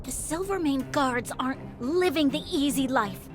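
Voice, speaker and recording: A woman's voice: high-pitched, animated, measured pace. A woman playing a proud, earnest young noblewoman soldier in a video game, speaking formally. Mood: proud, defensive. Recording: game music behind the voice.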